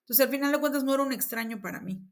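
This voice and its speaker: A woman with a Mexican accent telling her own story in a casual conversation, with lively acted-out dialogue. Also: high-pitched, racing. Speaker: interview guest.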